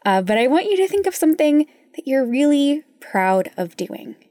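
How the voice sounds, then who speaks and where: A woman with a mellow, dark-toned voice talks straight to the listener. She is soft and informal, guiding a reflection, and the sound is very dry and close. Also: high-pitched, sing-song, moderate pace, no echo. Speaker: podcast host. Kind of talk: intimate guided reflection to listeners.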